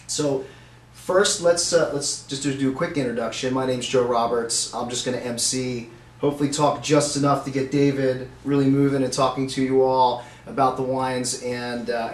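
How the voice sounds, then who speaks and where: A middle-aged man hosting a live webcast, chatty and upbeat with frequent fillers. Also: medium pitch. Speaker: host. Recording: roomy, faint noise.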